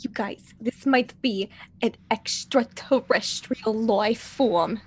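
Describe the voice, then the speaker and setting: A woman's voice: a little high, slow pace, slightly rough. A woman playing an eager, confident space crew member in a tabletop game, in a casual conversational manner. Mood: awed. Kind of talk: tabletop roleplay.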